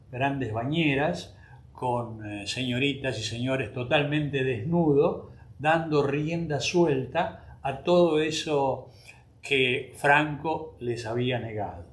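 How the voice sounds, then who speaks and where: Argentine man in his sixties, echoey studio. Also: mid-range voice. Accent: Argentine Spanish. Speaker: co-host. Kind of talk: casual comedic chat.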